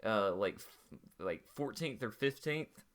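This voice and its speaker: A man's voice: on the low side, expressive, fairly bright tone. A young man co-hosting a sports podcast, bantering casually with lots of "like" and "uh". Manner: quick banter, frequent "like" and "uh". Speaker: podcast co-host.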